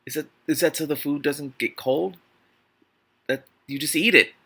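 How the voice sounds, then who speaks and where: American guy, super bright sharp voice, reading aloud n snarking, sweary and kinda baffled. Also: a little high, normal speed, gravelly, slightly rough. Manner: irreverent, profane, incredulous. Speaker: host reading a book aloud with commentary. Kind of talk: informal read-aloud with joking asides.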